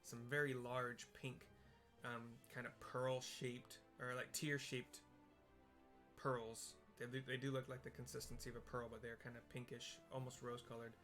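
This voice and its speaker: Man, mid-range flat voice, hesitant improvised scene description, plain wording, game music in the background. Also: medium pitch. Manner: hesitant, halting, searching for plain comparisons.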